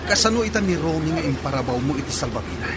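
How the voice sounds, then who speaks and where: A man's voice: a little high, animated, fast talker, close to an everyday voice. A man with an Ilocano accent playing a worried, scheming ferry crewman in a radio drama, speaking urgently. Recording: loud crowd and vehicle noise behind.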